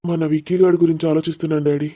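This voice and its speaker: A man's voice: fairly high pitch, level tone, rapid-fire, mellow, natural voice. A man playing a troubled, lovesick young son in a cartoon family drama. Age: young adult. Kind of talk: animated cartoon dialogue.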